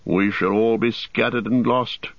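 A man's voice: mid-range voice, steady tone, a little slow, no room sound, muffled recording, lightly acted. Man playing a grave, commanding ranger. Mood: urgent command. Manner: formal, authoritative. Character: grave, commanding male ranger, leader of travellers.

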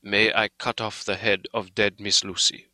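A man voicing a grave, earnest old foreign doctor in a dramatic audiobook, speaking in solemn, halting, emphatic phrases. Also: on the low side, little pitch movement, sharp tone, dry recording. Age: older. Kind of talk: dramatic audiobook character reading.

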